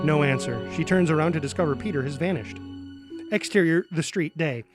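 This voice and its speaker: A man's voice: on the high side, a little fast, music in the background. A man reading screenplay stage directions as a dramatic scripted narrator.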